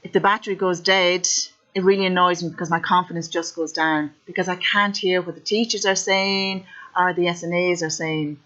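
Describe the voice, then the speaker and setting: A woman with an Irish accent who speaks plainly and directly in the first person, giving steady testimony. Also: fairly low pitch, normal speed. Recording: dull lo-fi audio.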